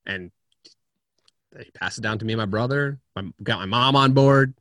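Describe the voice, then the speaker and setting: A man talking casually as a guest on a video-call interview from home, chatty and lively as he tells stories about himself. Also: mid-range voice, no echo. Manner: chatty storyteller, quick and animated.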